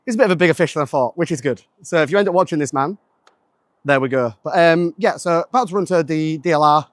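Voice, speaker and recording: A man's voice: on the high side, quick pace, no echo, studio quality. A man from Manchester, a business founder chatting casually to camera in a rambling way, full of "um" and "like". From Manchester, England. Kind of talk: casual vlog chat.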